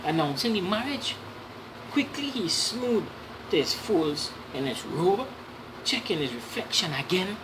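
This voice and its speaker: Low-voiced, animated storyteller woman, noisy recording. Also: measured pace. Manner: animated, repeats words and explains to young listeners. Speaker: storyteller reading a children's book aloud. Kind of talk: dramatic read-aloud storytelling with asides to listeners.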